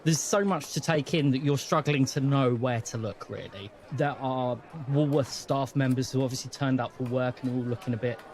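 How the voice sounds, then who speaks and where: Male game master, mid-pitched voice, measured scene narration, descriptive, faint background noise.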